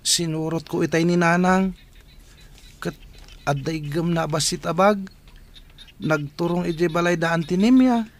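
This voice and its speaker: A man's voice: a little high, measured pace, long pauses, a little hiss or hum. A man with an Ilocano Filipino accent playing a serious, suspicious older child in a radio drama, speaking earnestly.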